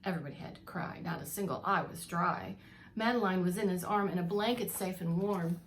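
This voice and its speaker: A woman preschool teacher reading a picture book aloud to young children, expressively, pausing to explain and ask questions. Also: lowish voice, a little hiss or hum.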